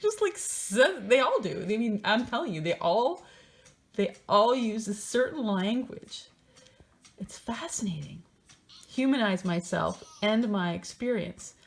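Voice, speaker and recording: A woman's voice: mid-range voice, very animated, unhurried, creaky. A woman reading a book aloud in a theatrical, mocking way, breaking into casual, sardonic asides of her own.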